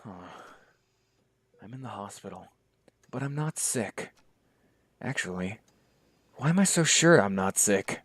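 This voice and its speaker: A young man playing a dazed, sardonic male medic, talking in a wry inner monologue that slips into hushed whispers. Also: lowish voice, lively intonation, a little slow, long pauses, slightly rough, dry recording. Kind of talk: one-man voice acting.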